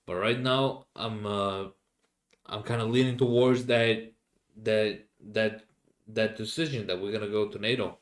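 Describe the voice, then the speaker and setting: A young man from Finland with a Finnish accent, speaking English as a second language, rambling casually as a solo podcast host and often pausing to search for words. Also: fairly low pitch, fairly even intonation. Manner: halting, many fillers and restarts, searching for English words.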